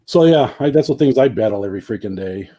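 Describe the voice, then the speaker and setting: A man in his late forties chatting casually in an online group call, talking plainly and flatly and saying "freaking" a lot. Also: mid-range voice, quick pace, slightly rough. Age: 40s. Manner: flat, plain-spoken, says "freaking" a lot. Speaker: YouTuber in a group chat.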